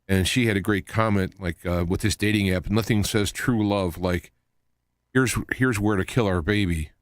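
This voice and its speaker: A man hosting a live talk show, rambling in a halting way as he wanders from point to point. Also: lowish voice, dry recording, studio quality. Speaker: radio show host.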